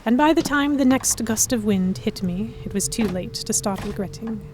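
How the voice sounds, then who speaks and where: A woman playing a proud, sharp-tongued historical heroine who narrates a pirate romance in the first person, wry and expressive. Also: a little high, moderate pace, background noise, lightly acted. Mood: reflective narration. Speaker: narrator and lead voice actor.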